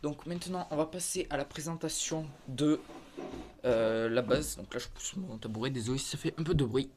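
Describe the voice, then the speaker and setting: A man with a head cold giving a halting, filler-heavy show-and-tell of his toy collection, filmed on a phone in a converted attic. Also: medium pitch, a little mellow, a little hiss or hum. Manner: halting, filler-heavy, points things out one by one. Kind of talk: casual show-and-tell narration. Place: converted attic room with creaky floor. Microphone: phone camera held in hand.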